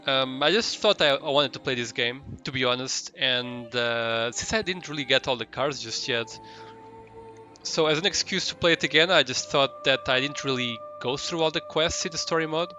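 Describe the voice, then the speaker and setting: A man's voice: medium pitch, normal speed, sharp tone, faint music behind. A man doing casual live commentary as a game streamer, rambling and hesitant as he searches for his words.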